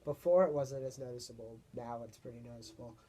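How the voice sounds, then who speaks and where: A young man with a deep voice, talking casually to camera in a rambling, hesitant way as he searches for words. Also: quick pace, dark tone, breathy edge. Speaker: vlogger. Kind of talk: casual personal vlog monologue.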